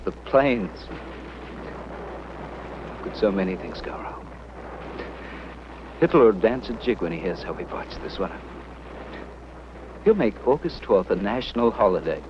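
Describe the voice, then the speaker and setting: An actor playing an army sergeant who keeps a wounded officer company with jokes and reassurance. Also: male voice, on the low side, expressive, measured pace, pauses often, noisy background. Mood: reassuring. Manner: jokey, reassuring. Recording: dull old film sound with gunfire.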